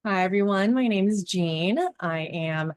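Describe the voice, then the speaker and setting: A woman from Los Angeles speaking as a dietitian on an online panel, bright and enthusiastic. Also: mid-range voice, unhurried, clear, resonant tone. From Los Angeles, California. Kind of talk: panel discussion on video call. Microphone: video call.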